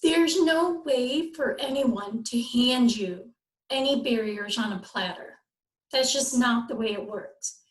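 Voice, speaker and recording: A woman's voice: a little high, slow pace. A woman on a discussion panel giving plain-spoken advice in short, direct, emphatic phrases. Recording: echoey, roomy sound.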